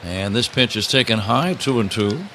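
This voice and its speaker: A man's voice: fairly low pitch, normal speed, a little hiss or hum. A man calling a baseball game live on the radio as the play-by-play announcer, with the ballpark behind him. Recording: steady ballpark ambience behind the voice. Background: outdoor ballpark ambience.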